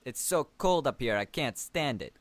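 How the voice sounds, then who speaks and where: A man voicing a gruff aardvark street musician in an improvised story, with a wandering American accent. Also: mid-range voice, lively intonation, moderate pace, no room sound, pitched above the performer's own voice, close to an everyday voice. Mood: apologetic. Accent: American, drifting between New York and Southern. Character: gruff apologetic aardvark street musician, male, bothered by cold.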